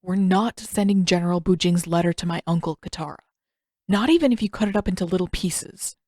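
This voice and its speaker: A woman voicing a gloomy, awkward teenage boy prince in a narrated story, with a dry, halting, grudging manner. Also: on the low side, darkish tone, dry recording, close to an everyday voice.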